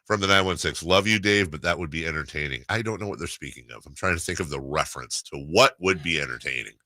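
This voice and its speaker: Wry low-voiced man with a very bright edge. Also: fast talker, no echo. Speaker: sports radio host. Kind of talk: casual sports talk.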